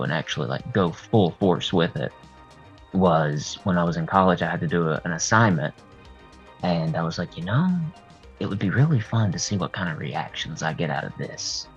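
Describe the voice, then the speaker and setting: A man with a husky voice talking candidly and at rambling length as a guest in a casual interview. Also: low-pitched, expressive, normal speed. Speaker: horror artist and video creator, interview guest. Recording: dull lo-fi sound, music behind. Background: music.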